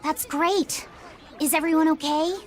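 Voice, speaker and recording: A woman's voice: high-pitched, steady tone, unhurried, darkish tone. An actress playing an earnest, kind young boy with a sincere manner in a video game cutscene. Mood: concerned. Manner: sincere, caring, youthful. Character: earnest, kind young boy learning to steer his life. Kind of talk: English-dubbed video game cutscene acting. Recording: dramatic game music behind the voice.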